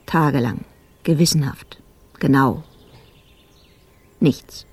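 A woman playing a sober, troubled police investigator in a radio drama, talking in clipped fragments with heavy pauses. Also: rumbling low, darkish tone, breathy edge, heavily acted. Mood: sober, frustrated.

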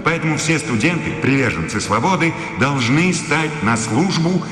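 A man's voice: on the high side, animated, normal speed, lightly acted. An actor playing a stern, authoritarian male professor and rector in a radio play, with a formal, declamatory delivery. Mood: fervent, solemn. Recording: long hall reverb, music underneath.

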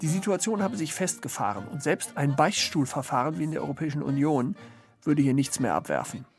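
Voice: mid-range voice, very animated, brisk. A performer playing a dry, aloof, ironic commentator on society in a scripted literary radio play. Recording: music behind the voice.

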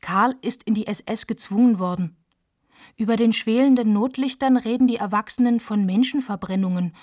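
A woman's voice: on the high side, normal speed, no echo, clean studio sound, lightly acted. A woman in a literary radio play, narrating as a girl who witnesses postwar family life, in plain short sentences. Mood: sober. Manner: plain short sentences, quoting shouted insults.

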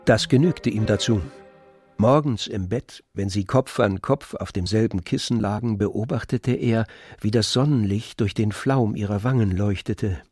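A man's voice: fairly low pitch, a little slow, darkish tone, slightly rough, no echo. A man narrating a literary German radio drama as a scripted storyteller. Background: music.

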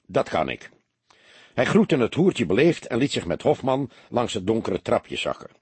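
Husky, expressive male audiobook narrator. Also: mid-range voice, normal speed, dry recording. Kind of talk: expressive scripted crime story narration.

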